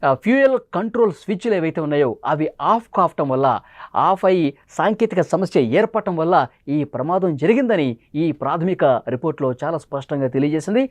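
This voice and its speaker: High-pitched, fast-talking man presenting news. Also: expressive, no room sound. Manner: emphatic, repeats phrases for stress. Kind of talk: dramatic news commentary.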